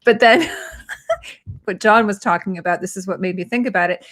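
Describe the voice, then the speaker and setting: A woman co-hosting a relaxed livestream hangout at a middle pitch. She is chatty and conversational, and she reads out comments from viewers as she talks. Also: moderate pace. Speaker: livestream co-host. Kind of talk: casual chat.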